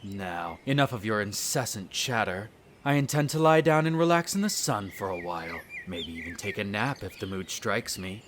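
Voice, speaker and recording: Man playing a haughty, deep-voiced male vampire aristocrat. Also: very animated, moderate pace, a bit bright, slight background noise. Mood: haughty, dismissive. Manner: condescending, formal, drawling disdain. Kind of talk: scripted audio roleplay monologue. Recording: birdsong and nature sounds behind. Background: birdsong, garden nature ambience.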